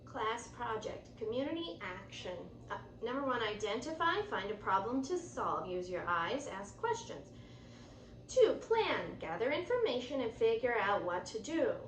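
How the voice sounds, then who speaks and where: A woman's voice: a little high, sing-song, a little slow. A woman reading a children's picture book aloud expressively and explaining it in an instructive, teacherly way. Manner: instructive, explains and points things out. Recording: roomy echo, distant mic, faint noise.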